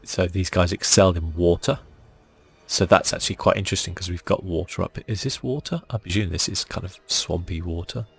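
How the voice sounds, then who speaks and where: A man hosts a gameplay video in ASMR style. His voice is very low, with plenty of creak and a husky, breathy edge. He talks softly and hesitantly, musing over the game as he plays. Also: brisk. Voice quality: husky, breathy, near-whispered at times. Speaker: gaming video host. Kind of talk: relaxed ASMR gameplay commentary.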